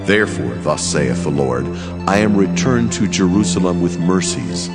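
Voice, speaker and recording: An actor playing a solemn, commanding angel messenger in a dramatized scripture reading, grave and declamatory. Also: male voice, sits low, expressive, moderate pace. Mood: stern, solemn. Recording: loud music bed behind voice.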